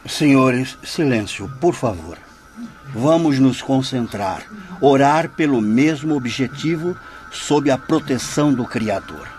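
Music plays behind a solemn séance leader in a radio play. His voice is of medium pitch. He invokes and commands in formal language. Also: lively intonation, takes it slowly, a little mellow. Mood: solemn, urgent. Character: solemn male leader of a spiritist session, commanding. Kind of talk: radio drama acting. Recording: music under the voice.

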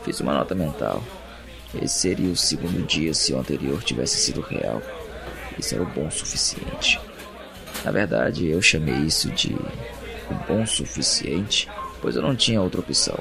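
A man with a Brazilian Portuguese accent playing an anguished captive in an audio drama, narrating his imprisonment in a brooding, deliberate monologue. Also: very deep voice, animated, gravelly, a crowd in the background, full character voice. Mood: haunted, subdued. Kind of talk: dramatic first-person monologue. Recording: music bed under the voice.

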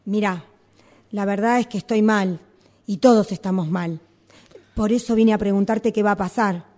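A woman's voice: medium pitch, steady tone, normal speed, a little mellow, lightly acted. A woman with a Rioplatense accent playing an indignant employee who confronts her boss with insistent questions, in amateur radio theatre. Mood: worried, insistent. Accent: Rioplatense Argentine Spanish.

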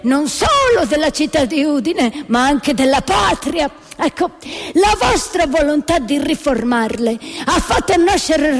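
A woman puts on an officious bishop for a satirical play, very high in pitch. He speaks with halting ceremony and self-importance. Long hall reverb and some music surround the voice. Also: exaggerated voice. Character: ceremonious, officious male bishop dismissing his subordinate.

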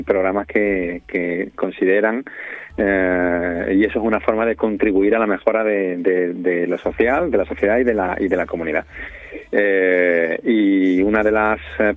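Phone-line audio, deepish guy being interviewed, halting as he explains stuff. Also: no room sound. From Spain. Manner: halting, searching for words. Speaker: interview guest, community radio member and audio fiction creator. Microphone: telephone. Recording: thin, narrowband phone line.

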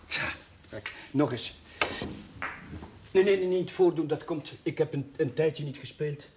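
An actor playing a modest, amiable chaplain in a Dutch period TV drama, speaking casually and a little hesitantly. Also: male voice, fairly high pitch, a little slow. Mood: amiable, concentrating. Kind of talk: 1970s Dutch television period drama acting. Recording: noisy old TV soundtrack with clicks.

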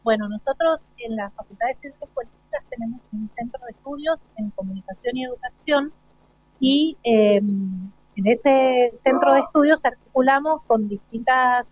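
A woman's voice: on the high side, moderate pace. An Argentine woman speaking as a university lecturer, explaining articulately and off the cuff in an interview. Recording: dull, dry live radio sound.

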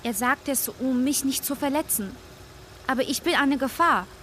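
An actress in a radio play playing a guarded, soft-spoken woman who talks in a measured, formal and evasive way. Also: high-pitched, slight background noise. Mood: anxious, subdued. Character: guarded, soft-spoken Tibetan woman promoting healing water. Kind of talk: naturalistic radio drama acting.

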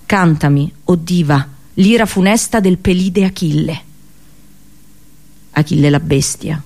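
An actress playing an accursed princess and prophetess in a radio drama monologue, with a solemn, intense storytelling delivery. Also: female voice, fairly low pitch, measured pace, creaky, darkish tone. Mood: grave, scornful. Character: Cassandra, bitter accursed Trojan princess and prophetess. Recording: voice over background music. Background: music.

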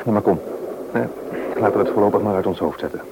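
A deep-voiced, measured husband played by an actor talks sensibly and hopefully in a radio play. The old recording has clear noise behind him. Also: male voice, lightly acted. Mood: practical. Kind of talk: radio drama dialogue.

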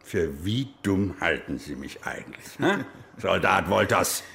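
A stern, disappointed army major of middle age in a German radio play. His voice is gravelly and swings widely in pitch, and he presses with paternal menace and short probing questions. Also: very animated, unhurried, fairly bright tone, slight background noise, full character voice. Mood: indignant. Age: middle-aged. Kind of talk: German radio crime drama acting.

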